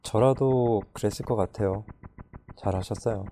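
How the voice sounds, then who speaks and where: A man reading a storybook, voicing a gentle, curious young woman who speaks softly and asks many questions. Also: lowish voice, moderate pace, a little hiss or hum, lightly acted. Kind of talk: audiobook character voice.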